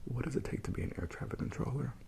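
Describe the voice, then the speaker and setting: A man whispering and speaking softly as he reads aloud for ASMR, hushed and gentle, with a breathy sound. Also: medium pitch, lively intonation, fast talker, noisy background. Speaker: ASMR host. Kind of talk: ASMR, whispered and soft-spoken article reading.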